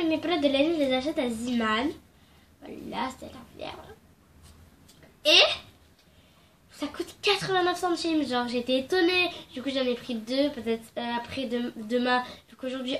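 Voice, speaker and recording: High-voiced young woman with a cold. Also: fairly bright tone. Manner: chatty, rambling, playful. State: stuffy nose from a cold. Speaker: craft vlogger. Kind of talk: casual craft tutorial. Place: at home indoors. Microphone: phone camera.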